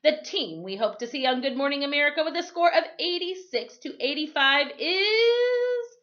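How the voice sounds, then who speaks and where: Storybook quiz host, male character read by a woman: formal, deliberate announcer delivery, lo-fi sound. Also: sits high, animated, voice pushed up. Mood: excited announcing.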